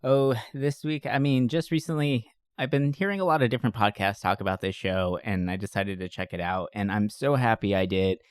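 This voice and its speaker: A man's voice: on the low side, fairly quick, hardly any pauses, clear, resonant tone, no echo, studio quality. A man hosting a podcast in a casual, jokey, enthusiastic and chatty style. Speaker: podcast host.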